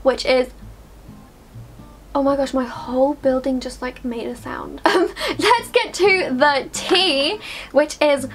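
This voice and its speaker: High-voiced chatty Swedish-native woman vlogger. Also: a bit bright, a little hiss or hum. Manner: chatty, lots of fillers. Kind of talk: casual chat to camera. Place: small bedroom, indoors.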